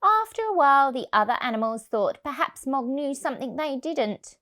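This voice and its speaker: A woman reading a picture book to young children, expressive and deliberate, stressing key words. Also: high voice. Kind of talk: storybook narration for young children.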